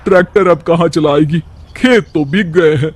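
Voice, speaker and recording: A man's voice: fairly high pitch, moderate pace, natural voice. A man voicing a worried, caring older village mother-in-law, fretful and affectionate.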